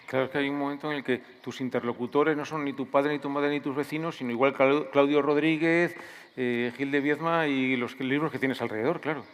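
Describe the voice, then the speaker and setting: A man's voice: medium pitch, fairly even intonation, brisk, long reverb tail. A man interviewing a writer at a literature festival, building long questions with hesitations.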